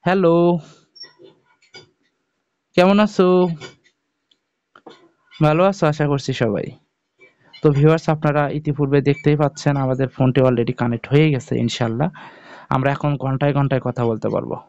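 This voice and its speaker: Guy doing a casual how-to, mellow gravelly voice, kinda muffled recording. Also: mid-range voice, steady tone, moderate pace, long pauses. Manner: addresses viewers directly, checking in often. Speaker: tutorial video host. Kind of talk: informal step-by-step phone app tutorial.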